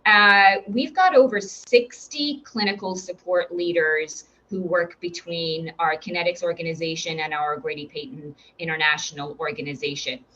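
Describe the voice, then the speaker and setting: A woman's voice: on the low side, steady tone, moderate pace, hardly any pauses, bright, forceful tone, a little hiss or hum. Upbeat Irish woman presenting, lo-fi audio. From Ireland. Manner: upbeat, encouraging, with frequent fillers and restarts. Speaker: recruitment director presenting to nurses. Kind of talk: webinar presentation.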